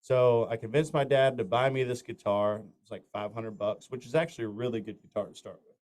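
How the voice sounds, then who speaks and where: Smooth-voiced man telling stories. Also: mid-range voice, steady tone, brisk, a little mellow. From north Florida. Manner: rambling anecdotes with many fillers and restarts. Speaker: country singer, interview guest. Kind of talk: casual storytelling interview.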